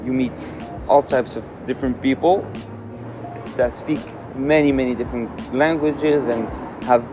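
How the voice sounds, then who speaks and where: A man's voice: mid-range voice, steady tone, a little slow, low-quality recording. A man from Morocco in his twenties, answering interview questions in a candid, easygoing way with frequent fillers. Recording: music under voice.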